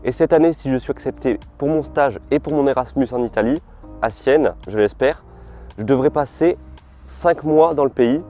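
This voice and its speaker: A man's voice: mid-range voice, normal speed, faint music behind. Young French man vlogging in a park. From France. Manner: relaxed, chatty, informal slang. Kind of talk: casual vlog talk to camera. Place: outdoors in a city park. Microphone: camera mic, filmed without full gear.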